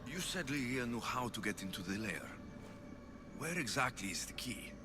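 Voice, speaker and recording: An actor playing a rugged, wry but caring mercenary hero in a video game, with a Greek accent and a blunt, reassuring manner. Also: male voice, mid-range voice, very animated, normal speed, over music, lightly acted. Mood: determined. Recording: noisy ambience behind the dialogue.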